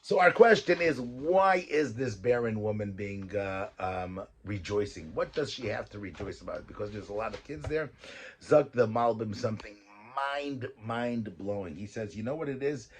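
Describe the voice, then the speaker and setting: A man, a rabbi, giving a weekly Torah talk, reading verses and explaining them in lively English. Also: lowish voice, moderate pace. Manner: mixes Hebrew verses with English explanation, animated.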